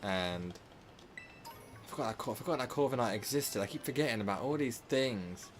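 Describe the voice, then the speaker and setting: A man with a slightly sore, hoarse throat, casually commenting on his live gameplay stream and rambling with lots of filler words and self-corrections. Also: medium pitch, expressive, moderate pace, faint music behind.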